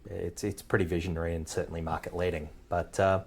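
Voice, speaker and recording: A man's voice: sits low, level tone, quick pace, creaky, breathy edge. A man hosting a podcast interview, friendly and affirming, calling his guest "mate". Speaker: podcast host. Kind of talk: casual interview chat.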